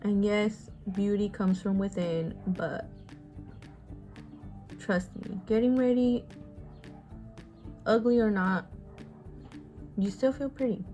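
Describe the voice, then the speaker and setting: A woman's voice: mid-range voice, fairly even intonation, slow, pauses often, warm tone, faint music behind. A woman in her twenties, born in Mexico and raised in the US, chatting frankly and casually while doing her makeup, swearing freely and sighing often. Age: 20s. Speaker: beauty vlogger. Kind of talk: casual get-ready-with-me chat.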